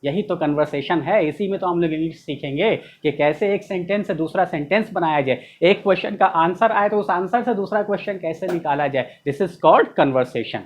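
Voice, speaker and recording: A man's voice: high voice, moderate pace, hardly any pauses, a little hiss or hum. A man teaching spoken English in Hindi, playfully acting out sample dialogues.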